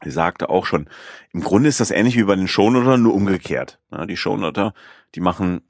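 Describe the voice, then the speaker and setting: A man's voice: low voice, lively intonation, brisk, no room sound, studio quality. A man hosting a podcast in casual chat, rambling with many fillers and restarts. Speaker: podcast host.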